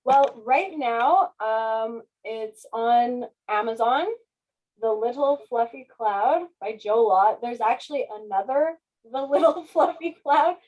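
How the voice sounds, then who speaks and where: A woman's voice: a little high, slow, a little mellow, low-quality recording. A woman chatting casually as a guest author, effusive and self-deprecating. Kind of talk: casual question-and-answer chat.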